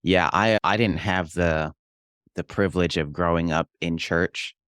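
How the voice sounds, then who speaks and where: A man's voice: low voice, a little slow, fairly bright tone, clean tone, no echo, studio quality. A young adult man from Hawaii, a real estate investor and pastor, telling his life story in an earnest, reflective way. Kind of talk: casual interview storytelling.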